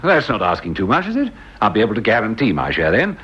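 A man's voice: lowish voice, huge pitch swings, fairly bright tone, breathy edge, heavily acted. An actor playing a boastful, jaunty businessman in a dull, lo-fi old radio drama recording. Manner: jaunty, chummy, quick to brush off worries. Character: breezy, boastful male businessman, middle-aged.

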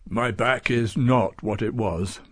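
A man's voice: mid-range voice, slow pace, slightly rough. A man voicing a blunt, argumentative saint and king in a storybook, matter-of-fact in manner. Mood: wry. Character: blunt, contrary male saint, a wise king. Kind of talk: storybook character voice.

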